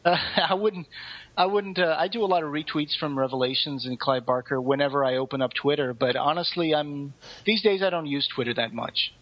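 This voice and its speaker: A man with a slightly high voice co-hosts a podcast over a dull, lo-fi recording. His delivery is informal and conversational. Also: a little fast. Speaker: podcast co-host. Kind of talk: casual podcast chat. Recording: dull, lo-fi voice connection.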